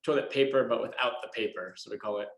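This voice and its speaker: A man's voice: mid-range voice, quick pace. Echoey man answering questions, plain-spoken. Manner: hesitant, self-deprecating, plain-spoken. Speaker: guest speaker from an eco products company. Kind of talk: casual question-and-answer talk with children. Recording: echoey room sound.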